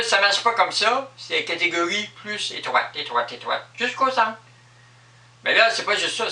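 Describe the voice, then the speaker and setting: A man's voice: high-pitched, bright, forceful tone. A man with a Quebec French accent giving an improvised explanatory monologue in an emphatic, repetitive manner. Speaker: vlogger explaining personal spiritual beliefs. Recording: echoey room, distant microphone.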